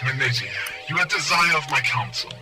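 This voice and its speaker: A man playing a scheming, courtly, condescending masked mastermind in an audio drama, speaking in formal, measured speeches. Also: fairly high pitch, lively intonation, recorded from a distance, loud music behind the voice, lightly acted. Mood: smug, scheming.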